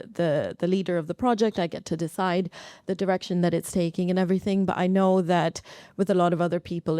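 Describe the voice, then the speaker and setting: A woman's voice: on the low side, warm tone, dry recording, studio quality. A woman from Pakistan, a psychology researcher, answering informally in a panel discussion. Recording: live event recording.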